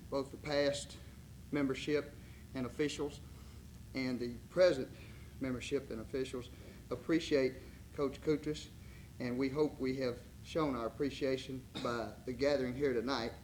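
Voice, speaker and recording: A man speaking as club president, giving a halting, formal speech of appreciation with many pauses. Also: mid-range voice, a little hiss or hum, low-quality recording.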